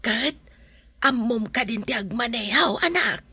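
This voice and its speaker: An actress with an Ilocano accent playing a fussing village mother in a radio drama, with a raspy, creaky voice. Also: a little high, expressive, a little slow, sharp tone, dry recording, exaggerated voice. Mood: fussing, impressed.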